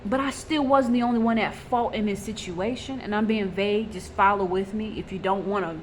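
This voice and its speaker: A woman's voice: mid-range voice, a little fast, a little hiss or hum. A woman, talking bluntly and rawly in a personal confessional vlog, repeating herself and using many fillers. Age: 20s. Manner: blunt and raw, vents with frequent fillers and repeats. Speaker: vlogger.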